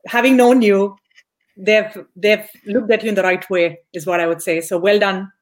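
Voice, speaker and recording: A woman's voice: medium pitch, fairly even intonation, normal speed. A young woman hosting a conversational podcast interview. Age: young adult. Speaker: podcast host.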